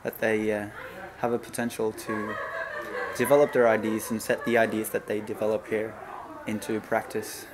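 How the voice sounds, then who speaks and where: A man's voice: lowish voice, level tone, normal speed, background noise. A man in his twenties with a Norwegian accent, giving a documentary interview as a student festival president. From Norway. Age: 20s.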